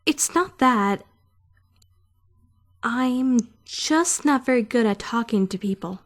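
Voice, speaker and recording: A woman's voice: a little high, expressive, slow pace, soft background music. A woman voicing a prim, socially awkward young trainee who narrates a visual novel fan dub in formal, bookish language. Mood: reluctant.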